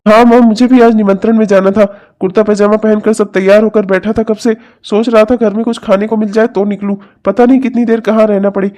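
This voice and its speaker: A man's voice: high voice, level tone, a little fast, hardly any pauses, warm tone, natural voice. A man playing a young married son in a family drama, speaking earnestly as the household peacemaker. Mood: conciliatory. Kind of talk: melodramatic family story acting.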